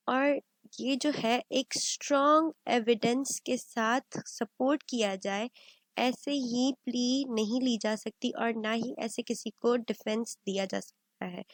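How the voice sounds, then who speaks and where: High-voiced woman lecturing slowly. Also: no room sound. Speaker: law lecturer. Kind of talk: explanatory lecture, Urdu mixed with English legal terms.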